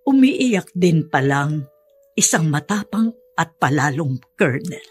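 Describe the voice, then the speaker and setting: Sad filipino auntie in a radio drama, husky, really slow, pensive and hesitant. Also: female voice, low-pitched, big pitch swings, exaggerated voice. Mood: pensive, wistful. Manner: halting, sighing, pensive. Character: sorrowful, hurt older aunt, reflective.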